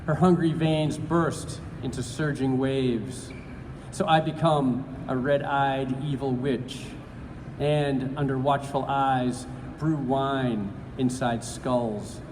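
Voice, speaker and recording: A man reads poems aloud at a slow, measured pace. His speech is hesitant and full of fillers between the pieces. His voice carries through a reverberant hall with steady background noise. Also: mid-range voice. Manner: halting with many fillers, then slow deliberate reading. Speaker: poetry reader. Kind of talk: live public poetry reading. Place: large event hall.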